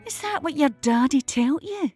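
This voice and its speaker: A woman's voice: high-pitched, animated, moderate pace, darkish tone, soft background music. An actress playing a blunt but tender, protective older mother figure with a Glaswegian accent in an audio drama. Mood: probing. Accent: Glaswegian Scottish.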